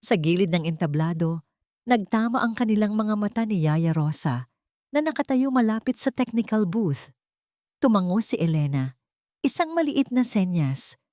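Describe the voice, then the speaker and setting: A woman's voice: mid-range voice, lively intonation, moderate pace, mellow, no room sound, clean studio sound. A woman reading a scripted melodrama as a dramatic story narrator.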